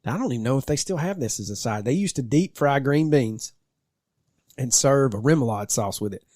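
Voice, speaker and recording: Man, lively swinging intonation, casual banter, playful teasing, podcast co-host, close dry sound. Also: mid-range voice, a little fast, no room sound.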